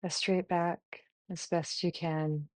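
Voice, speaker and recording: A woman guiding a meditation, giving gentle instructions in short phrases with long pauses between them. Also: lowish voice, flat delivery, dry recording. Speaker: meditation guide. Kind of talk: guided body scan meditation.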